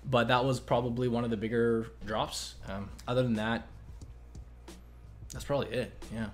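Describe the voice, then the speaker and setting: A man from Canada chats through viewer questions in a loose, rambling way, full of "like" and "you know". His voice sits at an ordinary middle pitch. Light music plays faintly underneath. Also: moderate pace. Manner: relaxed, rambling, many fillers and restarts. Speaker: investing channel host. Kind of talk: casual Q&A chat.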